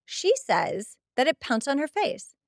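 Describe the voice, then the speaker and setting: A woman's voice: high-pitched, measured pace, no room sound, clean studio sound. A woman hosting a podcast, giving a casual, chatty and emphatic recap of a TV episode. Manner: expressive, emphatic, chatty, acts out quoted dialogue. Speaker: podcast host.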